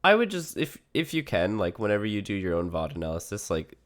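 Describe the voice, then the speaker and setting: Male gaming coach, low-pitched voice worn by a cold, casual one-on-one delivery. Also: very animated, a little fast. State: sick, worn voice. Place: remote online voice call. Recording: live online voice call.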